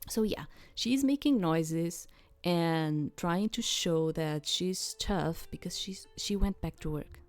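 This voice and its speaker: Woman chatting thru a game playthrough, slow n halting, repeats herself, bit low voice, soft music behind. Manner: halting, repetitive, checks listener understands. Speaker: gamer presenting a game playthrough. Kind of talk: reading game text aloud with casual commentary.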